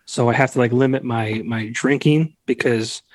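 A man's voice: medium pitch, steady tone, moderate pace, no echo. Joking podcast host in his late thirties. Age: 30s. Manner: joking, blunt, casual swearing. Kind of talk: casual podcast interview.

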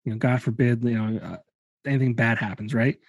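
A man's voice: medium pitch, a little fast, vocal fry, husky, no echo. A man in his late thirties hosting a podcast, musing casually with loose fillers. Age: 30s. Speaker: podcast host. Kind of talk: casual conversation.

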